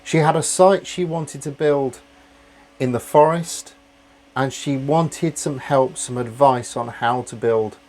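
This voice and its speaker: A man in his thirties reading out a bedtime story with a lilting, sing-song storyteller's lift on the fairy-tale phrases. He talks straight to a camera from his home office desk, in a medium-pitched voice. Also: darkish tone. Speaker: channel host.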